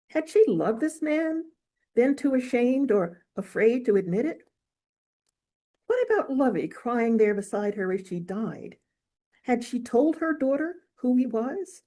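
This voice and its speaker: A woman reading literary fiction aloud in a measured, expressive storytelling manner. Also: a little high, vocal fry. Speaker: author reading from own novel. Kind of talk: audiobook-style literary reading.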